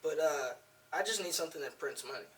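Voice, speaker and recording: A man's voice: on the high side, animated, fast talker, far from the mic, crowd noise, poor audio. A man whose first language is German, talking casually and with heavy slang as a podcast guest.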